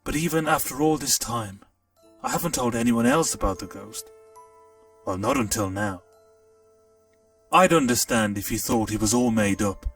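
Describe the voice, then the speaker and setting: Gravelly man narrating a story. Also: fairly low pitch, moderate pace, long pauses. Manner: expressive, storytelling delivery. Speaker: audiobook narrator. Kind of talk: first-person storybook narration. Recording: distant mic, faint music.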